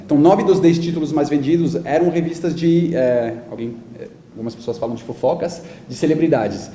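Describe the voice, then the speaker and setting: Mellow, dark-voiced Frenchman in echoey room. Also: a little high, brisk. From France. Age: 30s. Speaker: guest speaker, digital media company executive. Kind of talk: conversational talk in an interview. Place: indoor event talk before an audience. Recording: echoey room with faint background noise.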